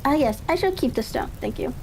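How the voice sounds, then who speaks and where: A woman voicing a self-assured, genial gentleman detective in a storybook reading, politely and with measured phrasing. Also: sits high, animated, creaky. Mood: brisk, commanding. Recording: faint crackling ambience behind.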